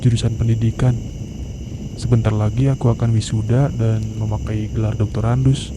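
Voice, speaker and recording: A man playing a friendly, calm young student mountain guide in an animated horror story, speaking reassuringly. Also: on the low side, normal speed, background noise, natural voice. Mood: friendly, amused. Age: young adult. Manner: reassuring, advising. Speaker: volunteer mountain guide character.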